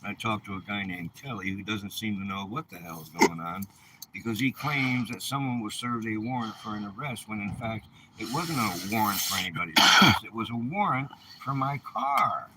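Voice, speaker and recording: A man's voice: lowish voice, normal speed, heavy background noise. A man in his seventies delivering an aggrieved personal vlog monologue in an indignant, emphatic way. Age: 70s. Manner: indignant, emphatic, rhetorical questions. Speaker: vlogger.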